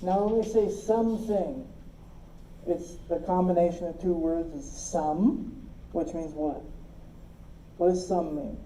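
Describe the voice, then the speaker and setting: A woman's voice: fairly low pitch, fairly even intonation, slow pace, pauses often, mellow, roomy sound, a little hiss or hum, rough recording. A woman teaching a logic class, explaining step by step and often checking that her students follow. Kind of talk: classroom lecture.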